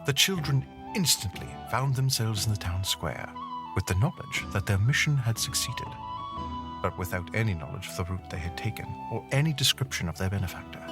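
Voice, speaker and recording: A man's voice: on the low side, animated, a little fast, over music. A man reading scripted storybook narration as the narrator of an audio drama.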